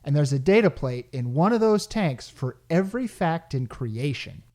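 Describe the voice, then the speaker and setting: A brash salesman played by an actor in a radio comedy, with a very animated, swooping delivery. The patter is slangy and wry, that of a worn-down working-class wisecracker. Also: mid-range voice, moderate pace, close to an everyday voice. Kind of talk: hobby radio play acting.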